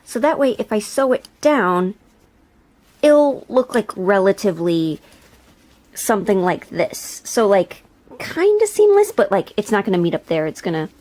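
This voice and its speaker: A woman hosting a hobby craft vlog in a medium-pitched voice. She talks casually and thinks aloud, rambling through her process with filler words and a self-deprecating manner. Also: very animated, a little slow, darkish tone. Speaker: video host and hobby historical seamstress.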